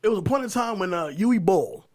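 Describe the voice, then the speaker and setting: Male podcast voice, pitched a little high, conversational, stop-start phrasing. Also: lively intonation, a little fast, a little mellow. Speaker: podcast panelist. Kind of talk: casual chat.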